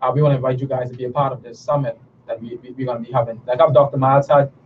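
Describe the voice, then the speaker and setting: A man's voice: mid-range voice, fairly even intonation, rapid-fire. Earnest Bahamian man on a distant mic. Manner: earnest, persuasive, with frequent restarts and fillers. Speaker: guest, natural herbal products business owner. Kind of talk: promotional talk show interview. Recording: distant mic, dull sound, background noise.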